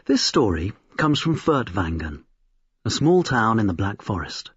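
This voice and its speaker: Husky male storyteller in a roomy recording. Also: lowish voice, big pitch swings, measured pace. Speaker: narrator reading a short story. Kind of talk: expressive scripted storytelling. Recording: roomy, slightly dull recording.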